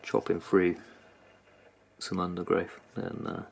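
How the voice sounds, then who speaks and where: A man with a British accent giving a casual, unscripted product review in a halting manner. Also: low voice, monotone, gravelly. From United Kingdom. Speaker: knife reviewer. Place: indoors at a workbench.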